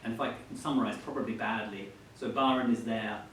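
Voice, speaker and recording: A man's voice: fairly even intonation, quick pace, a bit bright. Very echoey man interviewing, hesitant. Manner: hesitant, roundabout questioning. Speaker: interviewer at a book event. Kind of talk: literary interview before an audience. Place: echoey hall at a live book event. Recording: very echoey, distant mic, faint rumbling noise.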